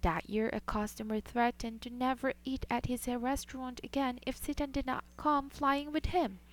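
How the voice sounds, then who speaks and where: A woman narrating a scripted news report in a measured, even delivery. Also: sits high.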